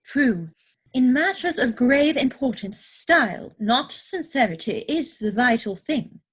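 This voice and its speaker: A woman's voice: on the high side, lively intonation, measured pace, slightly rough, poor audio. A woman playing a self-assured young society lady in a period stage comedy, speaking with affected, grand earnestness. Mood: grave, poised.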